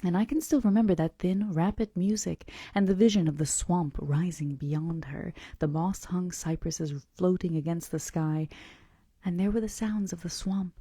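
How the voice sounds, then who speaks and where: A woman reading the part of a calm, melancholy male vampire who tells of his past in a gentle, measured, confidential way. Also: lowish voice, slightly rough, no room sound, clean studio sound. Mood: wistful, reflective. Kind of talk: audiobook-style character reading.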